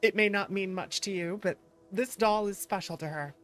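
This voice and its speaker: A woman's voice: mid-range voice, normal speed, fairly bright tone, soft background music, natural voice. A woman reading out a thank-you letter as an earnest detective, in a lightly formal tone.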